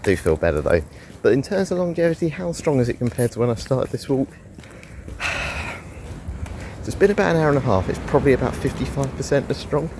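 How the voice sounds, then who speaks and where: A man's voice: medium pitch, sing-song, fairly quick, pauses often, a little mellow, slight background noise. A man with a head cold, chatting casually through a review while out on a walk, rambling and now and then sighing. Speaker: fragrance reviewer. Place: outdoors on a walk.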